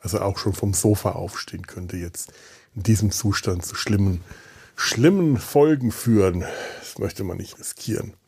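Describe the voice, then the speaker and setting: Husky man rambling on a solo podcast. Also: fairly low pitch, a little mellow. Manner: halting, self-interrupting, repeats words. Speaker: podcast host.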